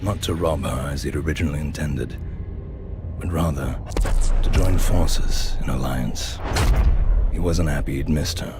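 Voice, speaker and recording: A man's voice: very deep voice, unhurried. A man narrating game cutscenes in a wry, storytelling manner. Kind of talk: scripted narration. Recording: narration over loud music.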